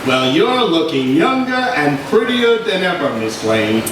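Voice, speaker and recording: Heard across a very reverberant room, a slangy, breezy private eye acted by a man talks in a high voice that swoops around. It has the folksy jauntiness of old radio drama. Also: big pitch swings, unhurried. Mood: jaunty. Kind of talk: live recreation of an old-time radio serial. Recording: very echoey hall, far microphone, loud noise.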